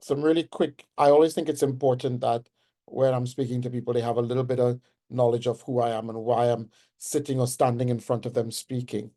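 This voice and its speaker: A man's voice: medium pitch, little pitch movement, brisk, warm tone. A man in his forties with a Trinidadian accent, giving a personal, conversational webinar lecture as a nursing professor. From Trinidad and Tobago. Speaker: guest speaker, nursing professor.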